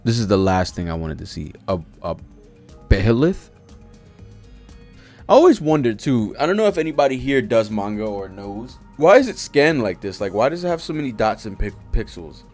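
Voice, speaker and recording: A man's voice: lowish voice, normal speed, soft background music. A young American man giving casual, slangy, profane live commentary as he reads along. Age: young adult. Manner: animated, slangy, profane reactions. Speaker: streamer reacting to a manga. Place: home studio room with streaming setup. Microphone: desk microphone close by.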